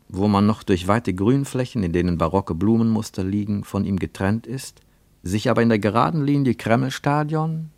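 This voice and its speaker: An actor narrating a literary radio play as a grave, reflective storyteller in a measured manner. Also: male voice, lowish voice, creaky, darkish tone, no room sound. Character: grave, reflective male storyteller of a travel tale.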